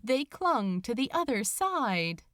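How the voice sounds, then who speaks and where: A woman reads fiction aloud as a dramatic storyteller. Her voice sits a little high and moves very expressively, taking its time in a dry, close recording. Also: very animated, slow, a bit bright, no room sound. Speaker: podfic narrator. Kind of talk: expressive scripted fiction narration.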